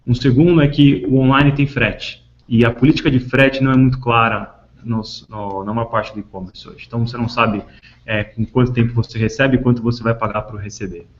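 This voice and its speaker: A man's voice: mid-range voice, steady tone, a little fast. A man with a Brazilian accent explaining business matters as a panelist on a conference call, hesitating and repeating words. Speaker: panelist answering questions. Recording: dull lo-fi conference call audio.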